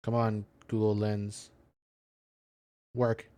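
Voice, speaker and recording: A man's voice: on the low side, monotone, extremely slow, dark tone, no echo. A man streaming a game with casual, rambling commentary, thinking aloud as he searches for words and reads things out. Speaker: video game streamer and host.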